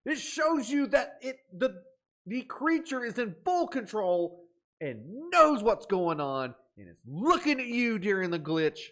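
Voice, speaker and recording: A man with a high voice hosts a casual reaction video. His pitch swings widely and his manner is animated, with dramatic exclamations as he comments. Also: unhurried.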